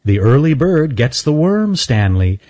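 A man's voice: mid-range voice, big pitch swings, measured pace, music in the background, voice pushed up. A man voicing a firm, caring mother squirrel who gives advice in a raised voice. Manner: instructive, firm.

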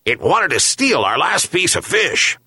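A man's voice: fairly high pitch, big pitch swings, unhurried, bright, forceful tone, slightly rough, no room sound, clean studio sound, pitched above the performer's own voice, heavily acted. A man voicing a loyal, hungry, indignant dog in a storybook tale, with a yelping manner. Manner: yelping, barking woofs.

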